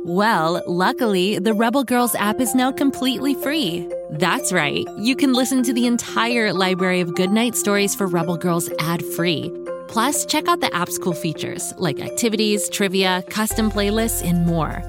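Mid-pitched woman announcing over music. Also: normal speed. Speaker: promo announcer. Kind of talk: scripted promo read.

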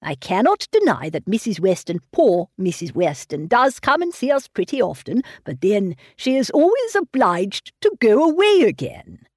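Fretful elderly gentleman, mournful and fussy, read by a woman, dry close sound. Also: medium pitch, huge pitch swings, moderate pace, hardly any pauses, breathy edge, no room sound. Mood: grudging.

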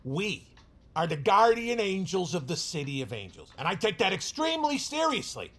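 A man's voice: sits high, pushed and bright, breathy edge, exaggerated voice. An actor playing a pompous, exasperated male call-center supervisor with a self-important, officious manner in an audio drama.

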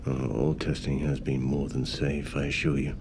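An actor playing a wealthy middle-aged magnate, speaking formally in a measured, halting way. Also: male voice, extremely low pitch, level tone, background noise. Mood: assured, explanatory. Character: wealthy, controlled, grave male business magnate. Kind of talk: naturalistic audio drama acting.

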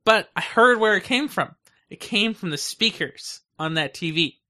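Bright, higher-voiced man chatting casually. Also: expressive, moderate pace. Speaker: podcast co-host. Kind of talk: casual chat.

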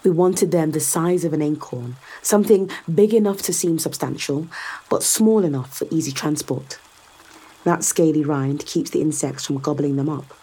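A confident, sardonic woman in a science fiction audio drama. Her voice sits a little low and has a slightly husky edge. She explains things with calm assurance and a dry, teasing bite. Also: moderate pace, low-quality recording. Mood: confident, coaxing. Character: confident, sardonic woman guiding a skeptical visitor.

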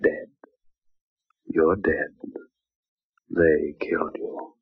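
An actor playing a brooding, guilt-haunted male chemist in an old-time radio horror drama, speaking in halting, repetitive monologue. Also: extremely low pitch, little pitch movement, gravelly, husky, no room sound, phone-quality sound, heavily acted. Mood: anguished.

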